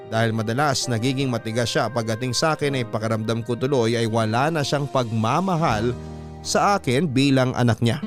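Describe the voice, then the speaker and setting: A man with a Filipino accent voicing a resentful, self-pitying student's personal story as measured, confiding radio drama narration. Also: mid-range voice, natural voice.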